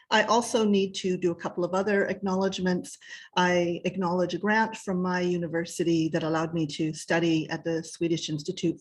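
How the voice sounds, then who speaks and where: A woman with a lowish voice speaks on a video call as a panel member. She is measured and formal, reading out acknowledgements before she turns to her material. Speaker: panelist, academic. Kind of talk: prepared academic presentation.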